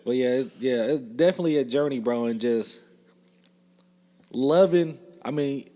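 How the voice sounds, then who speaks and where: A man's voice: mid-range voice, moderate pace, warm tone. A man in his mid-thirties co-hosting a podcast, rambling in casual chat, joking, and often tagging sentences with "you know what I'm saying". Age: 30s. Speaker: podcast co-host.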